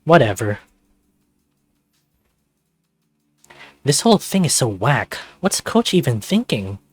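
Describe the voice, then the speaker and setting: A man voicing a grumpy, excitable teenage boy on a school soccer team in a visual novel, exasperated and sarcastic. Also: mid-range voice, moderate pace, husky. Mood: sour.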